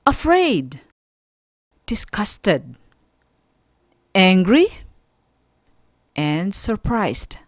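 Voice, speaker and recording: A woman with a Filipino accent teaching an online radio school lesson, speaking to students in a deliberate, instructive way and putting questions to them. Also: fairly low pitch, no room sound, clean studio sound.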